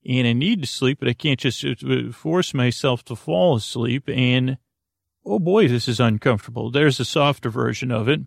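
A man hosting a bedtime podcast in a rambling, halting monologue, often interrupting himself and wandering off, with a creaky voice. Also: mid-range voice, no echo, studio quality. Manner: meandering, halting, self-interrupting, digressive. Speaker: podcast host.

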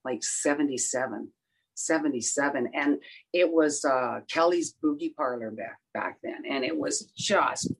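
A woman singer in her sixties with a rough voice, talking in a casual interview, halting with many fillers and restarts. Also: a bass voice, rough recording.

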